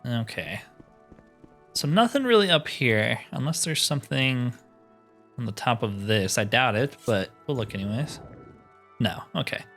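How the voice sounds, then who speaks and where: A man's voice: mid-range voice, expressive, measured pace, long pauses, a bit bright, faint music behind. A man giving casual gameplay commentary, thinking aloud with mild slangy exclamations. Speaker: let's player narrating a roleplay playthrough.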